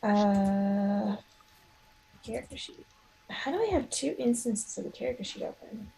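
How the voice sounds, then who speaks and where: A woman's voice: mid-range voice, a little slow, gravelly, dark tone, husky, poor audio. A woman playing a tabletop roleplaying game, chatting casually and hesitantly as she thinks aloud.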